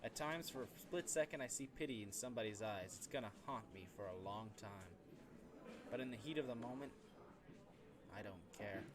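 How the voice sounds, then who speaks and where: A man's voice: fairly low pitch, moderate pace. Man reading game story aloud, noisy recording. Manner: dramatic reading with stumbles over words. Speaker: video game streamer. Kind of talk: let's play read-aloud of visual novel text.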